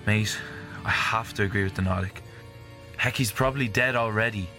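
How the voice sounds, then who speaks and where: A man acting as a skeptical, blunt adventurer in a fantasy audio drama. His voice is on the low side, with music behind it. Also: moderate pace, pushed and bright. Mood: blunt, combative.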